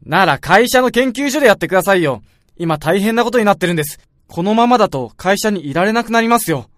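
An actor playing an earnest, excitable and gullible young junior researcher in a radio drama. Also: male voice, sits high, fast talker, no echo, studio quality. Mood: urgent.

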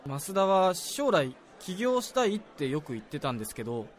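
Casting: male actor as junior salaryman, higher-pitched young voice, apologetic and sincere, confiding in a senior, radio drama. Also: sing-song, moderate pace. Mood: earnest recounting. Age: young adult. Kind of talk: Japanese radio drama dialogue.

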